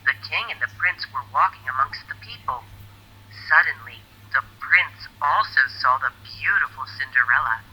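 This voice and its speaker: Bright, expressive woman storyteller. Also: a bass voice, husky, rough recording. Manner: expressive, deliberate storybook delivery. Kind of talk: scripted children's fairy tale narration.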